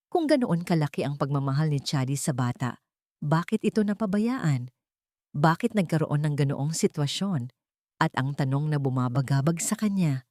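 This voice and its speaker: Female story narrator, lowish register, dramatic scripted delivery, dry studio-like sound. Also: a little mellow, dry recording.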